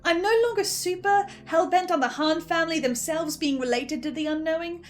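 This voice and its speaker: A woman giving first-person commentary on an audio drama as host, animated and self-questioning in a halting way. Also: extremely high pitch, soft background music. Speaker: host of a podcast listener recap series.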